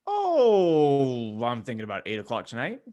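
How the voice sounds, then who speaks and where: A man's voice: medium pitch, huge pitch swings, measured pace, dark tone, dull audio. A man co-hosting a podcast in casual, joking conversation. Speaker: podcast co-host.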